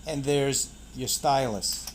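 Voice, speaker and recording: A man's voice: medium pitch, slow pace, slight background noise. A man hosting a casual product unboxing, pointing things out in short, clipped phrases. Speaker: product reviewer and channel host.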